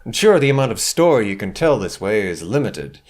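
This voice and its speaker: A man's voice: lowish voice, sing-song, a little fast, noisy background. A man delivering scripted, humorous video game commentary in a theatrical, sardonic way, full of flowery rhetorical questions. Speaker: video essayist, narrator. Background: background music.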